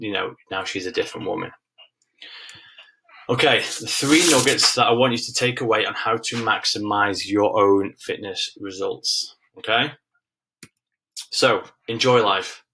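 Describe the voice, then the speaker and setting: A man in his thirties with a lowish voice and a noticeable creak presents as a fitness coach. He teaches informally and directly, leaning on repeated key phrases to drive each point home. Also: bright, forceful tone, rough recording. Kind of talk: informal webinar lesson with slides.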